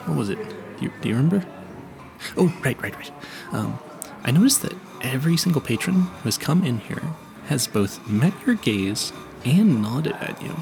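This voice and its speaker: Man playing a flustered, animated young vampire hunter. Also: mid-range voice, moderate pace, vocal fry, over music. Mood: nervously earnest. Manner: nervous, flustered, stammering, over-apologetic, polite. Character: awkward, smitten, clueless young male vampire hunter. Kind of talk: romantic audio roleplay addressed to the listener.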